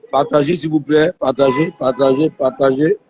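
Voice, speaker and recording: Man, host, hoarse with flu, dark husky tone, muffled phone sound. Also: on the high side. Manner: talks to viewers, repeats himself. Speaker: live stream host. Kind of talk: live phone interview. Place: airport.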